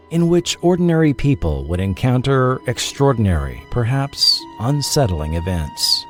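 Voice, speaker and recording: An American man hosting a podcast with scripted documentary narration, deliberate and dramatic at the ends of phrases. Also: mid-range voice. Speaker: podcast host.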